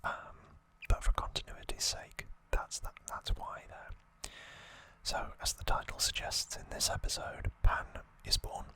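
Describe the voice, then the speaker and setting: Softly whispering ASMR host. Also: a little slow. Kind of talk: whispered ASMR, recapping an anime story.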